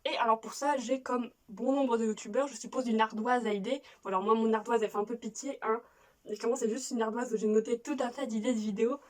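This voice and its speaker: A woman's voice: on the high side, fairly even intonation, fast talker, darkish tone. A young woman from France chatting casually as a YouTuber in her room at home, self-mocking, with frequent laughs and restarts. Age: young adult. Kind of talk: casual vlog chat. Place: bedroom at home.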